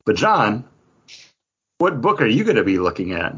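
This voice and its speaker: A man with a husky voice and very lively intonation, speaking as a casual podcast co-host. He voices his own reactions mid-sentence. The recording is a little dull. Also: on the low side, normal speed. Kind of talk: casual chat about comics and TV.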